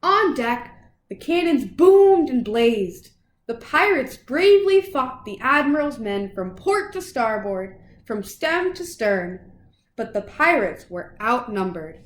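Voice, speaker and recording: A woman reading a children's picture book aloud in an expressive, dramatic way for young listeners. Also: a little high, slow pace, reverberant, rough recording. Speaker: children's storybook reader.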